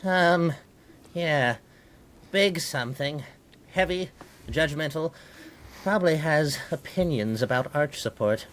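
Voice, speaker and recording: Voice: mid-range voice, takes it slowly, long pauses, a little hiss or hum, close to an everyday voice. An actor voicing a wry, chatty piece of bubble gum, the optimist of a group in a comedic audio drama. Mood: wry, soothing. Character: soothing, sardonic, optimistic team-minded piece of bubble gum.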